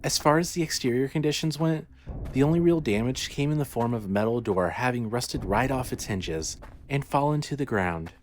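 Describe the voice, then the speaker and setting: A man's voice: mid-range voice, lively intonation, normal speed, slight background noise. A man reading scripted horror fiction as the narrator of an audio story.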